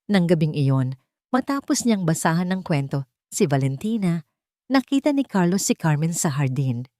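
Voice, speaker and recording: A woman's voice: lowish voice, big pitch swings, normal speed, dark tone, dry recording, clean studio sound. A woman with a Filipino accent narrating a scripted dramatic story.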